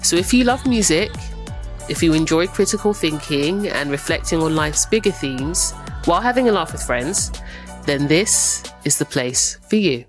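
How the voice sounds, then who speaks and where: A woman's voice: low voice, animated, moderate pace, soft background music. A woman host reading a polished, welcoming scripted introduction to her podcast.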